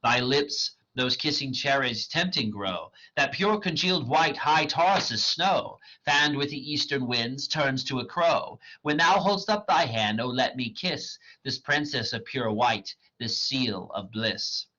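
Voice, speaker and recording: A rejected young lover speaking in verse, read by a man. The voice moves a lot in pitch and sounds slightly husky. The recording is dull and lo-fi. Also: lively intonation, measured pace, few pauses, bright, forceful tone. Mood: adoring.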